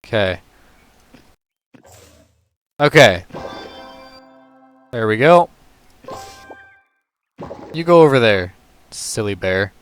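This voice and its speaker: Male gaming host, hoarse and tired, unhurried walkthrough commentary, smooth clear tone. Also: on the low side, faint music behind. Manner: relaxed, talks through moves step by step. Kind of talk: casual gameplay commentary.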